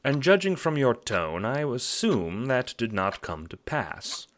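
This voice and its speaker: A man voicing a calm, courteous, smugly sinister puppet-like host in an audio drama, speaking in a mannered, formal, condescending way. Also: medium pitch, a little slow, voice pushed up. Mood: calm, polite. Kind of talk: theatrical audio drama narration.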